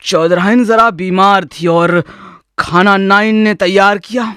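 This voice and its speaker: A man playing a deferential, flustered village landowner in a radio drama, pleading haltingly and with formal respect. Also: sits high, steady tone, fairly bright tone, smooth, no echo, studio quality. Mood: flustered, apologetic. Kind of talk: Urdu radio drama acting.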